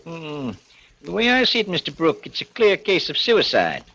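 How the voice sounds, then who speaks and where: Bright, forceful medical examiner. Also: male voice, on the high side, very animated, normal speed, gravelly, exaggerated voice. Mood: businesslike. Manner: assured, businesslike. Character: brisk, matter-of-fact male county medical examiner. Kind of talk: 1940s radio drama acting. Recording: dull old radio recording.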